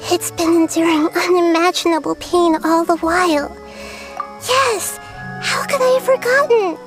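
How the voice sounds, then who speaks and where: High-voiced thoughtful girl deity. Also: over music. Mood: grave. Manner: measured, reflective, earnest. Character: wise childlike girl deity, gentle and thoughtful. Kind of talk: video game voice acting.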